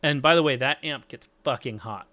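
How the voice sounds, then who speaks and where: Bright-voiced man rambling about gear. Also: mid-range voice, fairly quick. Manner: rambling, swears casually, explains at length. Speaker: streamer talking gear. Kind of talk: casual chat.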